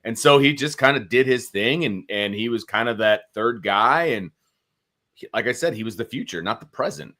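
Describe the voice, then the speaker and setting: A man's voice: medium pitch, lively intonation, moderate pace, pushed and bright. A man casually talking football as a sports show co-host, opinionated and conversational, repeating phrases for emphasis.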